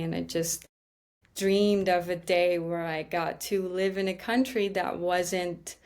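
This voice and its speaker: A woman's voice: lowish voice, darkish tone. A woman from Iran telling her life story as a podcast guest, hesitant and full of restarts. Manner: slow, hesitant, many ums and restarts.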